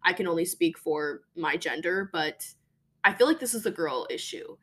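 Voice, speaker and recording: A young woman hosting a podcast, talking casually and emphatically about her own life, with a voice she calls deeper than most women's. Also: lively intonation, moderate pace, fairly bright tone. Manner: chatty, emphatic, lots of fillers. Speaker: podcast host. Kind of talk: casual personal monologue.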